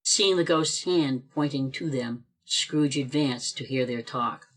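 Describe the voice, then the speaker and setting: A man narrating an amateur radio play in a steady storytelling manner, recorded over a video call. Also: medium pitch, takes it slowly, poor audio. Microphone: home video call mic.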